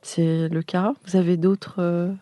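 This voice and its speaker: A woman hosting an architecture podcast, reading a formal introduction and asking questions in a measured way. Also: on the low side, flat delivery, dark tone, no echo. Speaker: podcast host, interviewer. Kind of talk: scripted introduction and interview questions.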